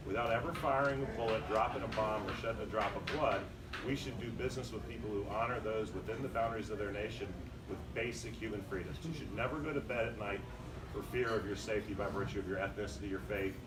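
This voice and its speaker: Emphatic male speaker at a press event, low voice, heard from afar in a noisy hall. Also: steady tone, fast talker. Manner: emphatic, speaks in long run-on sentences with restarts. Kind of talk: impromptu answers at a press conference. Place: conference room. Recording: echoey room picked up from a distance with noise.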